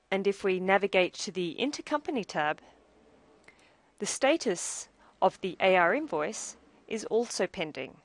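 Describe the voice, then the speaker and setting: A woman narrating a scripted software tutorial as demo presenter, in a measured, explanatory way. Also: mid-range voice, no echo.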